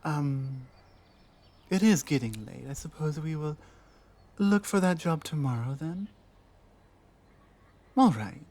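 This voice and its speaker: Woman voicing a low, earnest female orc warrior. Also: lively intonation, warm tone, heavily acted. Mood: hesitant, agreeable. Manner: formal, measured, hesitant speech. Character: lost female orc warrior in big city, earnest, grateful. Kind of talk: ASMR audio roleplay.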